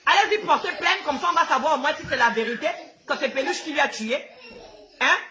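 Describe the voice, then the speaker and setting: A woman's voice: high-pitched, animated, fast talker, sharp tone. A woman with a Gabonese accent, a native Fang speaker, ranting live to her followers in an outspoken, combative, repetitive way. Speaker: social media host.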